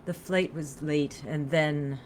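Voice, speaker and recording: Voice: medium pitch, steady tone, takes it slowly, a little hiss or hum, natural voice. A narrator voicing an earnest, solemn female vampire in a story, with a flowing, formal French accent.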